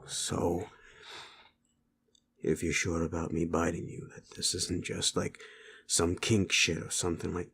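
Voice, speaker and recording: A man acting a gruff, cocky but protective werewolf in an intimate audio roleplay, with a gravelly, breathy murmur and blunt, coarse, halting speech. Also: deep voice, completely flat delivery, fairly bright tone, a little hiss or hum, heavily acted. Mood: gruff, tender.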